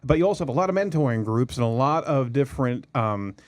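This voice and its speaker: A man's voice: mid-range voice, animated, fast, a little mellow, no echo. A man hosting a casual talk show, joking with guests, often restarting sentences and laughing. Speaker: show host.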